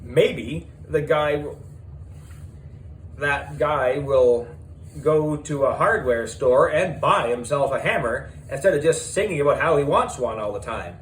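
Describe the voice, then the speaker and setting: A man narrating a children's audiobook in the character of a schoolboy, animated and deliberate, with dramatic pauses. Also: fairly high pitch, a little hiss or hum, poor audio.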